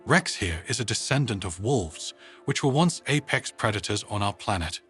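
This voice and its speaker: A man's voice: fairly low pitch, expressive, moderate pace, a bit bright, breathy edge, faint music behind, exaggerated voice. A man voicing an upbeat, wry woman giving a showy public presentation in a narrated story. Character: upbeat, wry woman showing her pet dog.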